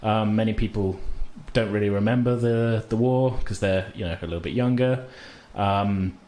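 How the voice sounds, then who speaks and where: A man's voice: on the low side, steady tone, normal speed, darkish tone. A man hosting a tabletop role-playing game as game master, narrating in a casual, loosely improvised way. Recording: noisy room ambience.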